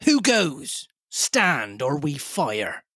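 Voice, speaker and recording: A brisk, scornful ship's captain from a seafaring storybook, voiced by a male reader. He speaks in clipped, commanding phrases, full of disdain, in a dry close recording. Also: on the high side, expressive, husky, dry recording. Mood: wary, commanding.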